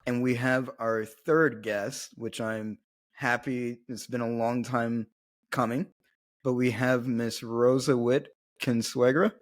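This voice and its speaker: Brief: relaxed male podcast host with an ordinary voice and an easy manner. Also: mid-range voice, takes it slowly. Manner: easygoing, laughs often. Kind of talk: casual podcast interview. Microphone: video call.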